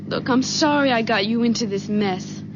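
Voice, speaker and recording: Actress as soft-hearted young wife, earnest, worried, high voice, radio drama, dull noisy recording. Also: female voice, moderate pace, close to an everyday voice. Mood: concerned, regretful.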